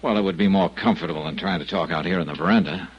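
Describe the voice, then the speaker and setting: An actor playing a tough, wry American investigator with clipped, slangy, sardonic delivery in 1950s radio detective style. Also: male voice, sits low, very fast, husky. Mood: cool, probing. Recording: muffled old radio broadcast.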